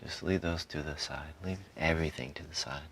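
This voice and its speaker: A man guiding a meditation in a calm, soothing manner, giving soft instructions in short phrases with frequent pauses. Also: very deep voice, slight background noise. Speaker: meditation guide. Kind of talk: guided meditation, softly spoken instruction.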